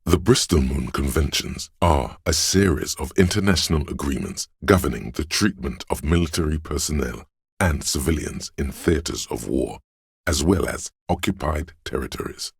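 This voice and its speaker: A man voicing an inner encyclopedia for a video game, a grave, detached, erudite narrator who states facts in a measured, deliberate way. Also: very deep voice, animated, gravelly, slightly rough, dry recording, studio quality, heavily acted. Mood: learned, detached.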